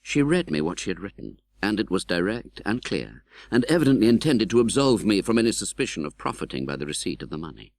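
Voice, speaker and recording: A British man playing an earnest, tender-hearted gentleman who recounts his own life in a dramatic, measured audiobook narration. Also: lowish voice, sing-song, no echo. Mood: earnest, grave. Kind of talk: dramatic literary audiobook narration in first person.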